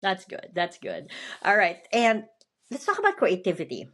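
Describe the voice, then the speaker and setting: A woman's voice: mid-range voice, huge pitch swings, moderate pace, fairly bright tone. Woman, podcast host, chatty and upbeat, mid-range voice. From Philippines. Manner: chatty and upbeat, often asks "you know what I mean". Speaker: podcast host and motivational speaker. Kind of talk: casual interview chat.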